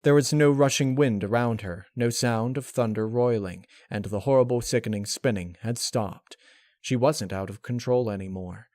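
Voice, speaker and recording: A man reading a dark fan-fiction story aloud as narrator, in a dramatic scripted style. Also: medium pitch, moderate pace, no echo, studio quality.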